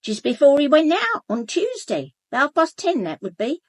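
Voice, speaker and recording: Animated, chatty housekeeper. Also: female voice, high voice, normal speed, dry recording, clean studio sound. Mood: matter-of-fact. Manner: indignant, gossipy, matter-of-fact. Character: forthright, chatty working-class housekeeper, sharp and unbothered. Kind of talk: radio drama acting.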